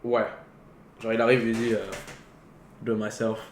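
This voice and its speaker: A man's voice: mid-range voice, monotone, moderate pace. Man, casual co-host, slangy words in, mid-range voice, echoey room, faint noise. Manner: slangy, peppers talk with English words. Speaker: co-host of a film talk show. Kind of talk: casual chat about films. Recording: roomy echo, faint background noise.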